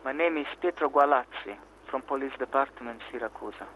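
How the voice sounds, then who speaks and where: Guy doing formal sicilian police officer, sympathetic and measured, radio play, bit of background noise. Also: mid-range voice, fairly bright tone, close to an everyday voice, poor audio. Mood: businesslike. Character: apologetic male Sicilian police officer on the phone. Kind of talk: naturalistic radio drama acting.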